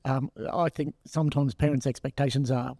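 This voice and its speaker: A man's voice: medium pitch, steady tone, brisk, gravelly, warm tone, dry recording, clean studio sound. A middle-aged man in his fifties with an Australian accent, interviewing casually as a podcast host. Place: bright glass-walled room, indoors. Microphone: close podcast mic on stand.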